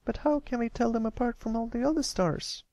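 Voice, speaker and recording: Squeaky little pony girl, voiced by a man, impatient and excitable, pushed far up high, cartoon storytelling. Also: a little fast, no room sound. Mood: curious, excited. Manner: squeaky, exclamatory.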